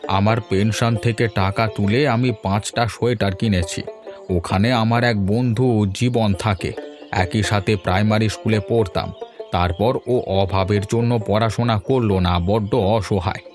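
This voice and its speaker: A man voicing a chatty old grandfather, low voice. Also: steady tone, normal speed, music in the background, lightly acted. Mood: fond, reflective. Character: sprightly old village grandfather, sentimental and teasing. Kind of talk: Bengali cartoon voice acting.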